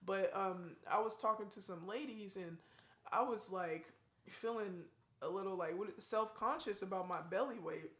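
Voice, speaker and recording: A woman's voice: medium pitch, normal speed, fairly bright tone, reverberant. A young woman and new mother chatting casually in a family vlog, talking in a rambling way. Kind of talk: casual chat.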